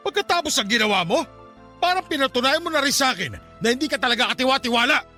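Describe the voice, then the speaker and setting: Filipino boyfriend fuming and threatening, really high sharp voice, swings around a lot, radio drama. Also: male voice, animated, quick pace, soft background music, heavily acted. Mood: angry, threatening. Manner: cold, accusing, threatening. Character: furious betrayed adult boyfriend back from abroad. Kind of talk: Tagalog radio drama acting.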